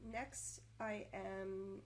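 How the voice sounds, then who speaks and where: Halting woman narrating a code tutorial. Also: medium pitch, level tone, a little hiss or hum. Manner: halting stutter, long pauses, repetitions, over-enunciated. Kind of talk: scripted screencast narration.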